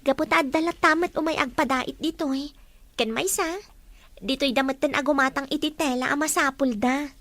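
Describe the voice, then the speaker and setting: Woman as a high-voiced, shy young female student. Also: steady tone, a little fast, a bit bright, dry recording. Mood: friendly. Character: modest, shy young female student, caring elder sister. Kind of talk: Ilocano radio drama acting.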